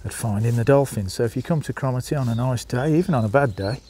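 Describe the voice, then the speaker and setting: A man with a Birmingham accent strolls through a seaside town, filming on a phone and chatting to his viewers as folks. His voice is mellow and of middling pitch. A faint outdoor hiss sits under it. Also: fast talker. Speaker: vlogger. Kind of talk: walking tour narration.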